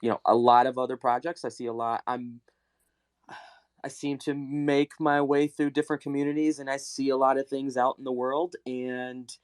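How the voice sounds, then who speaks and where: A man hosting a live audio call, speaking earnestly and restarting his sentences often. His delivery stays flat and even, and his voice is smooth and clear. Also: medium pitch, no echo. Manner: earnest, halting, many restarts. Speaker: community host.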